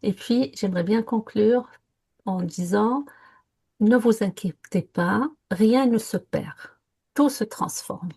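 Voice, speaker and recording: A woman's voice: mid-range voice, dark tone, breathy edge. A woman co-hosting a conversational podcast, speaking reflectively and earnestly with frequent hesitations. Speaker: podcast co-host. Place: lived in France.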